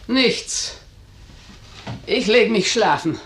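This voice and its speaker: A woman's voice: mid-range voice, animated, very slow, fairly bright tone, a little hiss or hum. A domineering, elderly widowed mother for a radio play. She speaks with haughty command and sounds every bit the mistress of the house, in a roomy, echoing space. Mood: curt, confident. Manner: imperious, commanding. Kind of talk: German radio drama acting.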